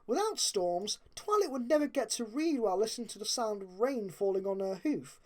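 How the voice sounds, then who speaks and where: Lively, mellow woman storyteller. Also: medium pitch, fairly quick. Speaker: narrator. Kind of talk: expressive storybook narration.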